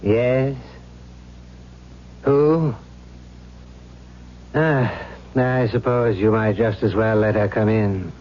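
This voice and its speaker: An actor playing an irritable, self-satisfied Satan in a vintage radio drama, with theatrical, unhurried delivery. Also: male voice, lowish voice, steady tone. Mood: irritated, dismissive. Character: Satan, weary, irritable, boastful Prince of Darkness. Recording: muffled old radio recording with hiss and hum.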